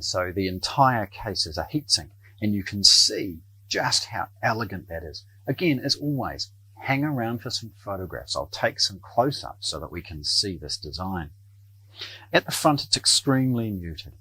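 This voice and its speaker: A middle-aged man presenting an unscripted product unboxing in a measured, explanatory way. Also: lowish voice, pushed and bright, slightly rough, poor audio. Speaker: presenter of an audio shop's product videos. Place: small indoor room lined with shelves.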